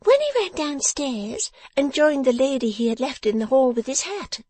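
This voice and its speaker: A small, sharp-tongued dressmaker from a Victorian storybook. She speaks in a raised, lively voice, pert and brisk, with spiteful, mocking relish. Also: sits high, no room sound, pitched above the performer's own voice. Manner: pert, brisk, slyly exultant. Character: sharp, spiteful, nimble little woman dressmaker, gleefully mocking. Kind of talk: audiobook character voice from a Victorian novel.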